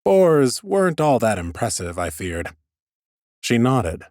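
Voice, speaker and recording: A man's voice: mid-range voice, very animated, slow, a little mellow, dry recording. A man narrating scripted first-person fantasy audiobook prose with wry understatement, close on a studio mic. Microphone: close studio microphone.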